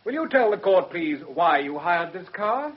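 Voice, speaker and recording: An actor playing a shrewd, controlled defence barrister in a radio drama, with a Received Pronunciation English accent and precise, formal questioning. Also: male voice, high-pitched, big pitch swings, moderate pace. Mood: formal, probing. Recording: muffled, dull radio drama recording.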